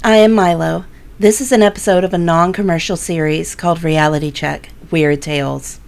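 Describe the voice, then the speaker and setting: A woman playing the composed host of an eerie tale anthology, giving scripted introductions in a measured, formal way. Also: on the low side, steady tone, vocal fry, a little mellow. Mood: composed, solemn.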